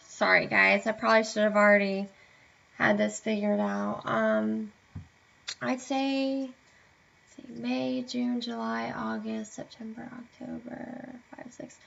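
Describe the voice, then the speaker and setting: A young woman chatting casually to camera, hesitant with many fillers, sounding a bit hoarse and tired. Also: on the high side, fairly bright tone. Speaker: vlogger. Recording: dull lo-fi webcam audio.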